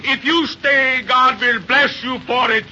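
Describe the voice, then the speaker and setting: An actor playing a desperate pioneer landowner, impassioned and imploring, in 1930s radio drama style. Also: male voice, extremely high pitch, steady tone, slow, sharp tone, full character voice. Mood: desperate pleading. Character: desperate pioneer landowner pleading with deserting workmen. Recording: muffled, hissy 1930s radio recording.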